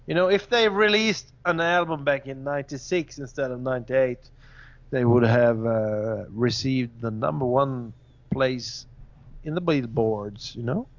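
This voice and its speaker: A man whose first language is Swedish, co-hosting a casual podcast chat, pausing to search for words as he talks in English. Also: mid-range voice, muffled recording. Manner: searches for words, hesitant phrasing. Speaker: podcast co-host.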